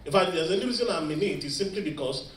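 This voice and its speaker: A Nigerian man on a panel, speaking in a measured, reflective way. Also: a little high, animated, a bit bright, a little hiss or hum. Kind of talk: panel discussion. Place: large conference hall. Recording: echoey conference hall from far off. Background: faint ambience.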